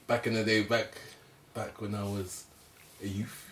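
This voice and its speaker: A man's voice: fairly low pitch, flat delivery, unhurried. A man in his mid-twenties chatting casually, playful and self-mocking. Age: 20s. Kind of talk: casual chat. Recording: roomy, slightly distant mic with faint noise.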